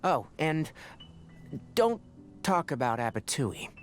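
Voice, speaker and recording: Casting: brisk young male game scholar, dry, matter-of-fact, lively inflection, faint music underneath. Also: a little high. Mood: businesslike.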